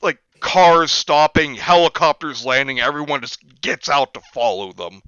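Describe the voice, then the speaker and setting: A sarcastic man reads a book aloud and tears into it, mocking every line. His voice is bright and forceful, and it sounds dry and a bit dull, like a lo-fi home mic. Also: a little high, lively intonation, clean tone, dry recording. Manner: sarcastic, mocking, reads passages slowly then rants. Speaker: podcast host reading a book aloud. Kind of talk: comedic book-reading commentary.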